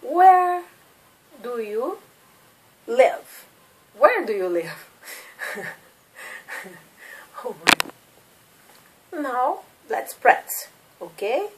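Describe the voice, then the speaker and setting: A Brazilian woman in her thirties teaches English at a deliberate, unhurried pace, with a Brazilian Portuguese accent. Her voice is fairly high and rises and falls a lot as she repeats each question. She sits a little away from the microphone. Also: sing-song, recorded from a distance, slight background noise. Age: 30s. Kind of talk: slow classroom drilling of questions.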